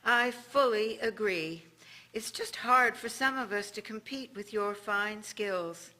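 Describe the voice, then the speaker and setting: A woman's voice: mid-range voice, takes it slowly, pushed and bright. A woman playing a courteous, admiring small-town neighbour in a period radio drama, making polite chatty small talk.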